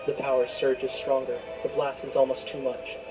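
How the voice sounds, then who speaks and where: Male narrator, dramatic scripted storytelling, fairly even delivery, prominent music bed behind. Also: mid-range voice, normal speed, dull audio.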